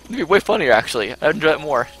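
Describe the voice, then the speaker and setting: A man's voice: a little high, sing-song, rapid-fire, fairly bright tone, husky, faint music behind, rough recording. An American man chatting casually as he hosts a gaming livestream, rambling and playfully argumentative. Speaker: livestream host playing a video game.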